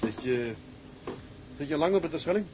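An actor playing a wry, plain-spoken ship's mate in naturalistic drama dialogue, dry and colloquial. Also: male voice, fairly high pitch, lively intonation, normal speed, noisy background, close to an everyday voice. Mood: dry, friendly.

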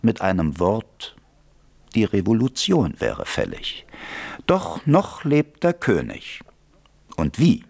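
A man's voice: mid-range voice, gravelly. A man narrating a radio play as a wry, theatrical storyteller.

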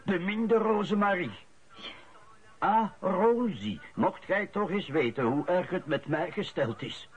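A scoffing Flemish husband in a radio play. His voice sits on the high side, theatrical and drawn-out, and the recording is dull and lo-fi. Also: very animated, slightly rough, heavily acted. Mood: mock swooning. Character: mocking Flemish husband reading a love letter aloud. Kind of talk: radio drama acting.

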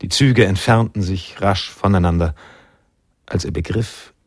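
A man narrating a literary radio drama in a measured, sombre manner, with a gravelly, husky voice. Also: sits low, no echo, studio quality.

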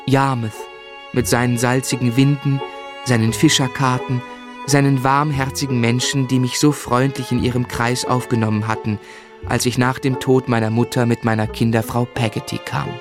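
An actor playing a gentle, melancholy gentleman who narrates his life in a literary, lyrical radio play style. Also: mid-range voice, flat delivery, normal speed, over music, lightly acted. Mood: fond, reflective. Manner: lyrical, reflective.

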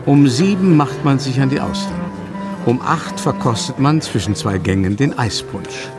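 An actor as the urbane narrator of a radio play from an old novel, measured, literary and wry. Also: male voice, medium pitch, lively intonation, close to an everyday voice. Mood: wry, atmospheric. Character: urbane storyteller narrating a 19th-century novel. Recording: music playing under the voice.

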